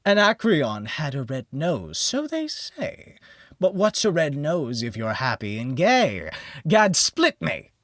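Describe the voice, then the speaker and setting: A man's voice: fairly high pitch, huge pitch swings, slow pace, fairly bright tone, dry recording, clean studio sound, voice pushed up, full character voice. A man voicing a tipsy, boisterous young man who declaims an eighteenth-century drinking verse in a rowdy lilt, as part of an audiobook story. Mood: rowdy, merry.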